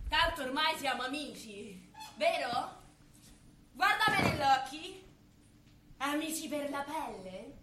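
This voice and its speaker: A woman playing a brash, bossy, excitable starlet in a live stage comedy. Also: high-pitched, takes it slowly, pauses often, background noise. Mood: bossy, sardonic. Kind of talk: theatrical comedy acting. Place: theatre stage, live performance. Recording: echoey theatre stage.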